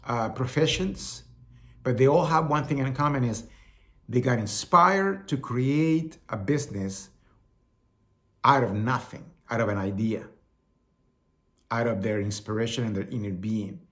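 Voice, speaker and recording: A man's voice: mid-range voice, unhurried, pauses often, fairly bright tone. A middle-aged man from El Salvador with a Salvadoran Spanish accent, hosting a talk show in a casual, rambling monologue that often repeats words. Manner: rambling, repeats words, acts out quoted voices.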